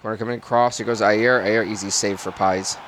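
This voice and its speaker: A man with a raspy voice doing live football game commentary and stream chat in sportscaster phrasing. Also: lowish voice, fairly even intonation, brisk, fairly bright tone, slight background noise, low-quality recording.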